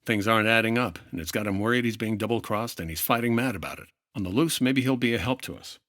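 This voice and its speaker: An actor playing a dry, unflappable hard-boiled insurance investigator in clipped, deadpan 1950s radio detective style. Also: male voice, fairly low pitch, quick pace, natural voice. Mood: terse, impatient. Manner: terse, deadpan.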